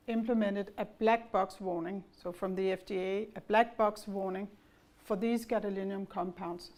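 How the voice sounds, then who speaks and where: Middle-aged Danish-accented woman, company executive, calm explanatory conference talk at a mid-range pitch. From Denmark. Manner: measured and explanatory, with occasional fillers and restarts. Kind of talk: investor conference presentation.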